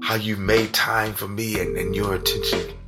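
An actor playing a smitten, stressed senator in an audio drama, with a husky voice. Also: male voice, lowish voice, flat delivery, normal speed, over loud music. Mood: smitten, earnest.

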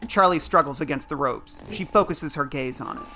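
Narrator role: man, slightly high voice, scripted audio drama narration, clear music bed. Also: fairly quick, pushed and bright.

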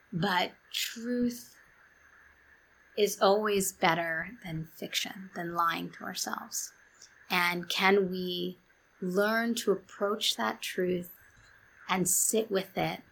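Calm, soft-spoken woman with vocal fry. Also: lowish voice, takes it slowly, low-quality recording. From New York City. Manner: calm, soft-spoken, drops to a whisper at times. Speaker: clinical psychologist guest. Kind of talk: reflective interview talk.

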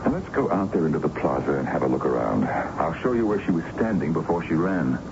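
Very deep, gravelly, commanding colonel. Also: male voice, sing-song, quick pace, exaggerated voice. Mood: puzzled, concerned. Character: smooth-talking, menacing colonel with shady dealings. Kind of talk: radio drama acting. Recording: muffled, noisy old radio broadcast.

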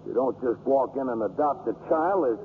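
An actor playing a blunt, defensive but soft-hearted baseball man in a 1950s radio drama. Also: mid-range voice, lively intonation, moderate pace, close to an everyday voice. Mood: defensive, flustered. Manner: blunt, colloquial. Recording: muffled, hissy 1950s radio broadcast.

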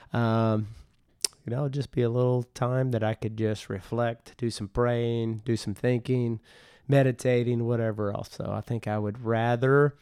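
A man, co-hosting a podcast in casual, joking chat, his voice a little husky today. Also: lowish voice, a little mellow, no room sound, clean studio sound. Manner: joking, laid-back. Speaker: podcast co-host.

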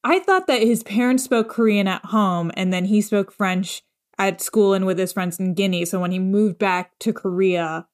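Steady woman recapping a show. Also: mid-range voice, normal speed. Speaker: podcast co-host.

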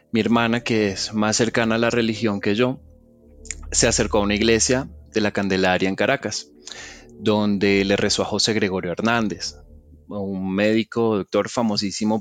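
A man tells a personal story in a soft, reflective, halting way. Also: fairly low pitch, no room sound. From Venezuela.

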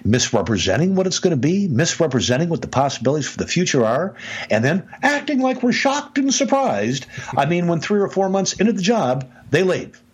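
Animated husky man giving business advice. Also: fairly high pitch, fairly quick. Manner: emphatic, animated, repeats "I mean". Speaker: podcast host, business speaker. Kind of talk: conversational podcast advice.

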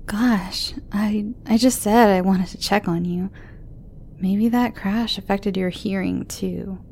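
A woman voicing a shy, clingy teenage girl who stammers and speaks in breathy half-whispers. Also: mid-range voice, steady tone, takes it slowly, a little mellow, slight background noise. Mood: eager, worried. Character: timid sweet teenage girl, eager and clingy.

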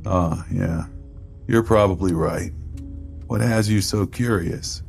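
Male actor as drunken grieving uncle, horror drama, gravel and fry, dragging slow pace, halting mutter, secretive ex-exorcist. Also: darkish tone, faint music behind, exaggerated voice. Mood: guarded, casual. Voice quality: gravelly, creaky low voice. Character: drunk, grief-stricken older uncle, a secretive former exorcist. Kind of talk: dramatic horror story acting.

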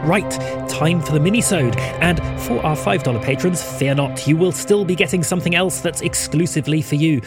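A man with a fairly high voice delivers a scripted podcast announcement. Music plays clearly behind him. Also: a little fast.

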